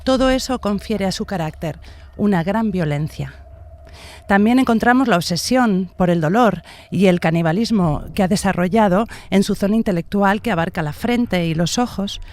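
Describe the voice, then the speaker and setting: A woman's voice: medium pitch, a little mellow. A woman from giving explanatory commentary as an expert guest. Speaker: expert guest, face-reading analyst.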